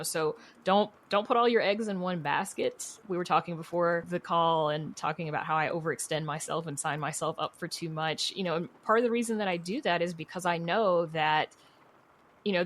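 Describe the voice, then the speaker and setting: A woman speaking as a podcast guest in casual interview talk, candid and encouraging, with plenty of "you know". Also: sits low. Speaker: podcast guest, writer and producer.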